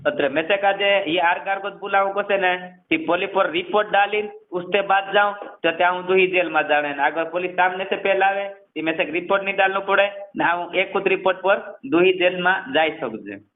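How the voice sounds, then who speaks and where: High-voiced man on a tinny phone line. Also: a little fast. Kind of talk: casual phone conversation. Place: on a phone call. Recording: tinny narrowband phone-call recording.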